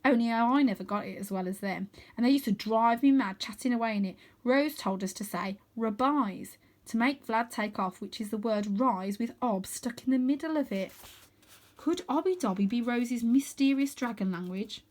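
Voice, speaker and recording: Female storyteller, slightly high voice, expressive and dramatic children's book narration. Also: normal speed. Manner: dramatic, animated emphasis. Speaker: storyteller reading aloud.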